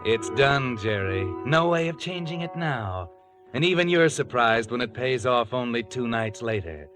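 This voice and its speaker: A sly, needling narrator acted by a man from a vintage radio thriller. His mid-range voice addresses the listener like a guilty conscience. Music plays clearly behind him. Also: medium pitch, expressive, moderate pace, lightly acted. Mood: taunting, ominous. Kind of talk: 1940s radio thriller narration in second person. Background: dramatic music.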